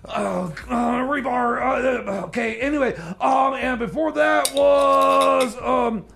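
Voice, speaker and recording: Comedy radio DJ: high-strung, whiny, sputtering, extremely high and croaky. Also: male voice, takes it slowly, fairly bright tone, heavily acted. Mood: exasperated. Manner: stammering, sputtering, easily exasperated. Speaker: radio show DJ.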